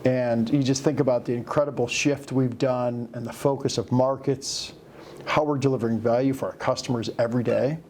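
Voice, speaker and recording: A man leading a corporate interview, asking questions in a measured, encouraging way. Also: mid-range voice, steady tone, darkish tone, slight background noise. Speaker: company leader interviewing a colleague.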